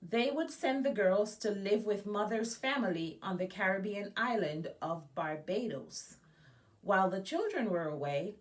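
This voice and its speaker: A woman from Jamaica with a medium-pitched voice reads aloud for a children's story time. She is a guest reader from an education nonprofit and adds informal asides of her own as she goes. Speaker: education nonprofit executive director reading as guest.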